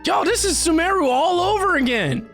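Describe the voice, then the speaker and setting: A woman's voice: very high-pitched, expressive, moderate pace, faint music behind. A woman streamer giving casual, slangy live reactions to a video game. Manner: informal, slangy.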